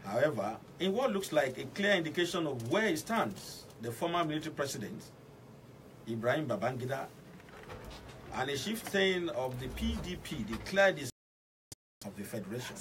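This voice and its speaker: A man's voice: mid-range voice, expressive, normal speed. A man with a Nigerian Yoruba accent hosting a live radio current affairs programme as its presenter. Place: radio studio. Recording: noisy, distant-miked radio studio capture.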